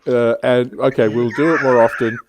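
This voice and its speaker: A man's voice: mid-range voice, steady tone, moderate pace, noisy background. A man with an Australian accent hosting a casual livestream panel chat. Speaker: host of a livestream.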